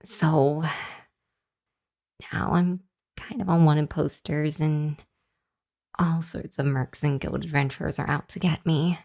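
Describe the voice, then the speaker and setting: A woman plays a young female hellhound in an intimate ASMR roleplay. Her voice sits low for a woman and moves slowly. She is earnest but easily flustered, hesitating and halting as she talks to the listener. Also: steady tone, warm tone. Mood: earnest, worried. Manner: hesitant, flustered, halting with sighs and nervous chuckles. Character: shy, earnest young female hellhound demon seeking shelter.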